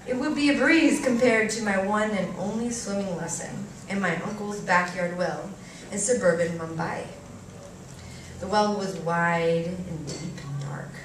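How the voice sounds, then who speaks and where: A woman reading a first-person story aloud at a live event, expressively and theatrically, with deliberate pauses and varied emphasis. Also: medium pitch, fairly bright tone. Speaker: reader performing a short story at a live event. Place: live reading in a cafe venue. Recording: echoey room, distant mic, faint noise.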